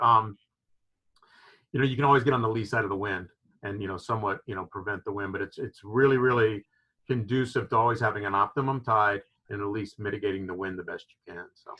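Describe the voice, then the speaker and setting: Man, from Florida, lodge owner, bright forceful voice, enthusiastic, video call. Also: on the low side, rough recording. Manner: enthusiastic explainer, frequent "you know" fillers. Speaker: fishing lodge owner, guest. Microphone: video call microphone.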